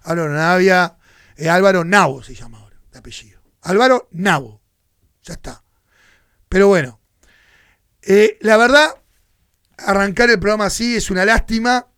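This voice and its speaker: A man's voice: sits high, a bit bright, no room sound, clean studio sound. A Uruguayan man with a Uruguayan Spanish accent hosting a radio sports show, giving heated, indignant opinion commentary. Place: radio studio.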